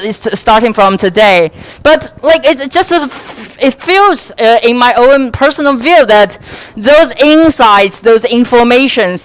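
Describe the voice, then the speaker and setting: Casting: woman, smooth clear voice, dry sound, earnest and halting conference questioner. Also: on the high side, no echo. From mainland China. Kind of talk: spoken question from the floor.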